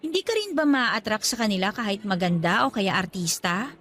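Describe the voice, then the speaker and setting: A woman's voice: medium pitch, sing-song, fairly quick, a bit bright, faint music behind. A woman with a Filipino accent playing a playful, clingy, jealous young girlfriend in a radio drama. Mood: playfully jealous. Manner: coy, teasing. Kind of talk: radio drama acting, conversational Taglish.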